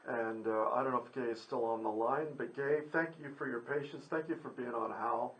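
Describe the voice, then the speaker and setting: A man's voice: mid-range voice, steady tone, fairly quick, fairly bright tone. A man hosting a live radio interview in a casual, chatty, rambling and courteous way. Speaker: radio host. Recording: noisy, with background ambience.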